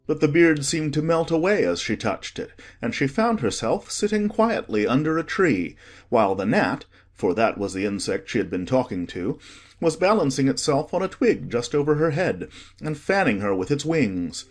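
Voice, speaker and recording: A man's voice: mid-range voice, very animated, normal speed. A man narrating a children's story from a script as the storyteller.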